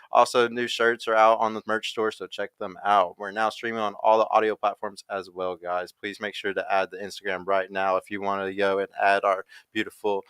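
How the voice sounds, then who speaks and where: Quick-talking hyped podcast host. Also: male voice, lowish voice, sharp tone, clear, resonant tone, dry recording. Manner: hyped, plug-heavy delivery. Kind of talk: casual live podcast hosting. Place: studio in Dallas.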